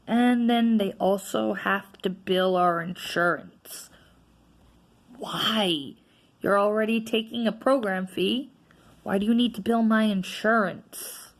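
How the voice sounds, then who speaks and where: A woman vlogger with a naturally loud voice, venting in a casual, frustrated rant that is full of tag questions. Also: mid-range voice, slow pace. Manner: frustrated, ranting, frequent "right?" tags.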